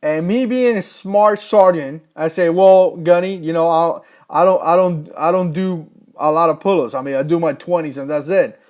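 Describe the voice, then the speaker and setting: A man's voice: a little high, normal speed, darkish tone. A man in his thirties, born in Cuba, chatting casually on a livestream as a former Marine, rambling and correcting himself as he reads viewer comments. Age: 30s. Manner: rambling, self-correcting, storytelling.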